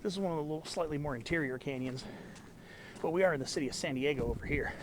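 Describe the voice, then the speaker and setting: Congested man, slightly high voice, enthusiastic hiking vlogger, outdoors, faint noise. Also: fast talker. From Southern California. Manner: enthusiastic, rambling, botanical asides. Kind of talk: casual walk-and-talk narration. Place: outdoors in a canyon on a trail.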